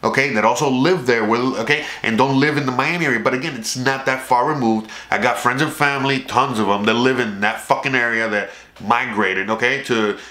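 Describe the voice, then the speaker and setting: A man's voice: mid-range voice, a little fast, pushed and bright, reverberant. A man from South Florida with a Cuban American accent, hosting his own channel in a rambling, profane monologue full of "okay?" asides. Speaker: YouTube channel host. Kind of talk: casual profane monologue to camera.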